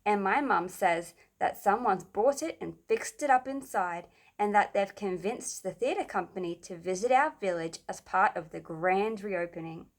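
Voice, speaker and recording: A woman voicing an excitable, bossy schoolgirl in a children's storybook, emphatic and dramatic. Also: medium pitch, normal speed, few pauses, lightly acted. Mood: eager.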